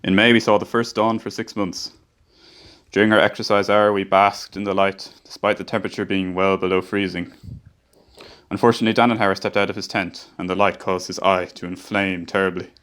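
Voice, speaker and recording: Guy playing a sailor telling the old voyage story, low voice, grave and steady. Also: a little fast. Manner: grave, reflective narration. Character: male ship's engineer recalling the voyage. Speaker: narrator. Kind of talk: scripted radio play narration.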